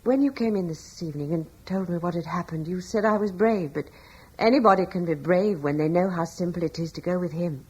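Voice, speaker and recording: An actress playing a gentle, devout Roman-era wife who speaks softly and earnestly in an old radio play. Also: female voice, lowish voice, a little fast, husky. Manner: soft, earnest, quietly faithful. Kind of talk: 1950s-60s radio drama acting. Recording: dull old radio broadcast.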